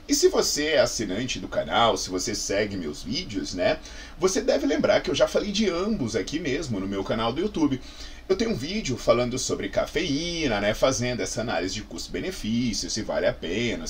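Animated Brazilian man explaining, faint background noise. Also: mid-range voice. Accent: Brazilian Portuguese. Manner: animated, conversational, frequent "né" tags and rhetorical questions. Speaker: fitness science YouTuber, presenter. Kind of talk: informal explainer to camera. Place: small indoor office with bookshelves.

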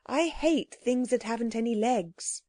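A woman's voice: on the high side, measured pace, warm tone, dry recording, clean studio sound. A woman voicing a thoughtful young girl who gives her opinions earnestly.

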